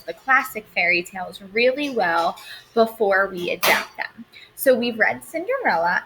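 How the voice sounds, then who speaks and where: A woman teacher reading a storybook aloud to students in an expressive, instructive way. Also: medium pitch, slight background noise, rough recording.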